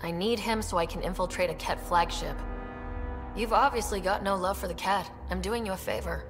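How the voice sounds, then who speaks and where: A woman voices a young, wry video game explorer. She is direct and self-assured, mid-pitched, heard with reverb and faint music. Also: brisk, natural voice. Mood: firm, wry. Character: confident, dry-witted young female space explorer. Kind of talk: video game character dialogue.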